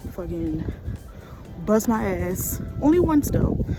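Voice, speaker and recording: A woman's voice: medium pitch, big pitch swings, takes it slowly. Young woman on her phone outside, a lil tipsy, cussing casually, music and traffic behind. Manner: loose and frank, swears casually. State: slightly tipsy. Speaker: vlogger. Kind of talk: casual on-location vlog talk. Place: outdoors in a snowy city.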